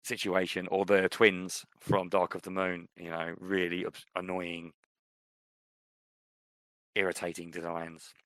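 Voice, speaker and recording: A man's voice: sits low, completely flat delivery, a little slow, long pauses, fairly bright tone, dry recording. A man co-hosting a podcast, chatting casually and enthusiastically about films, repeating himself for emphasis. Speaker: podcast co-host. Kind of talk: casual film discussion.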